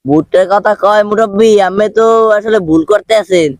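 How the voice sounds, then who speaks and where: A man puts on a phony preacher from a comedy dub, mock-pious and admonishing. His high voice moves quickly and sits dry and close. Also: a little mellow, no echo. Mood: sanctimonious. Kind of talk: comic prank-call dubbing sketch.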